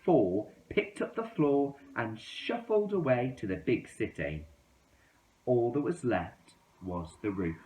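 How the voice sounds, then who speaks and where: Male children's storyteller, unhurried and highly melodic, expressive read-aloud delivery. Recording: roomy, echoing indoor sound.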